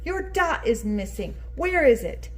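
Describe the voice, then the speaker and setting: Woman as a firm alphabet schoolteacher, animated. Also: high-pitched, measured pace, soft background music, pitched above the performer's own voice. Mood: insistent. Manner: commanding, exclaiming, instructive. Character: capital-letter schoolteacher in charge, firm and exacting.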